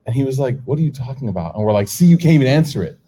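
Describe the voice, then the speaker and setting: A man's voice: mid-range voice, rapid-fire, a little hiss or hum, low-quality recording. A middle-aged man with an American accent gives an informal sermon to a live audience, telling stories, acting out the dialogue and laughing often. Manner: animated storytelling, acts out dialogue, laughs often.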